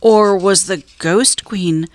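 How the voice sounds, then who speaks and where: A woman reading a children's story aloud as a narrator, in a measured, deliberate way with drawn-out emphasis. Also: medium pitch, dry recording. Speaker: storybook reader.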